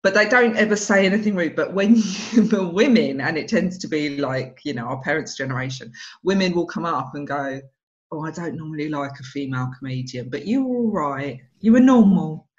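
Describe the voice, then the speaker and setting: A woman's voice: sits low, brisk. A woman in her thirties with an Essex accent, a stand-up comedian chatting on a video call and acting out other people's lines as she tells stories. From England. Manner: animated storyteller who acts out other people's lines. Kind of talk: casual interview chat. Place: home room, video call. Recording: dull lo-fi video call audio.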